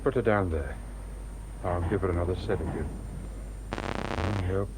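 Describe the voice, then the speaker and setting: Low-voiced, grave male medic. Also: a little slow. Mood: grave, resigned. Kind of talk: radio drama acting. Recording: clear background noise.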